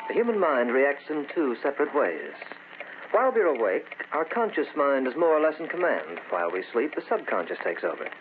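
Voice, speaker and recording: An actor playing a knowing narrator of an eerie old-time radio tale, measured and dramatic. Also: male voice, mid-range voice, lightly acted. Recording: tinny noisy old radio recording.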